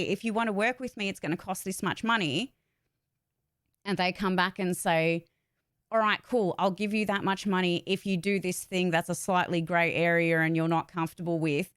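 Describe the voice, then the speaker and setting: A woman's voice: on the low side, fairly bright tone, dry recording, clean studio sound. A woman talking casually and candidly to her viewers, giving opinions emphatically and with some swearing. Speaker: vlogger, actor and voice-over artist.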